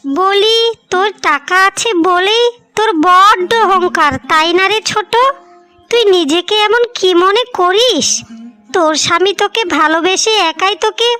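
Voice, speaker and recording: Cartoon casting: woman as irritable village elder daughter-in-law, very high bright voice, scolding delivery. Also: unhurried, no room sound, faint music behind, exaggerated voice. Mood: indignant, defiant. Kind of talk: Bengali moral-story cartoon dubbing.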